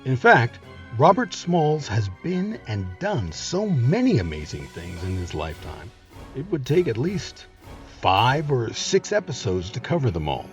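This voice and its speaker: A man's voice: mid-range voice, huge pitch swings, unhurried, faint music behind. A man narrating a scripted history story with emphatic, dramatic phrasing, as the host of the show.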